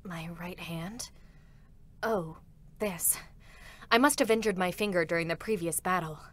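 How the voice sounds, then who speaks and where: A woman's voice: on the low side, measured pace. A woman voicing a stoic female combat android in a dramatic reading, formal, serious and restrained, with sorrow held back. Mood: quiet, restrained.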